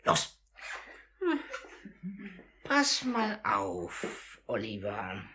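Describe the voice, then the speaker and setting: A sly old thief boss with a husky voice that swoops widely. He is fawning and scheming, repeats his words in a singsong, and performs in theatrical 1950s radio-play style. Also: a little high, fairly bright tone, heavily acted. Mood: jovial, coaxing. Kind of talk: 1950s German radio drama acting.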